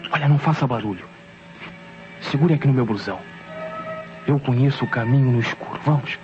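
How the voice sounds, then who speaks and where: A man's voice: medium pitch, fairly quick, music in the background, close to an everyday voice. A Brazilian man acting an eager, conspiratorial young son in an old radio drama, speaking with secretive urgency. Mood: urgent, secretive. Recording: dull old radio recording.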